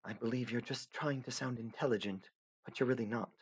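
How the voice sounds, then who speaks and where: A man voicing a polite, soft-spoken young troll girl who is lost and lonely, speaking in a hushed, earnest way. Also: mid-range voice, brisk, husky, voice pushed up, natural voice.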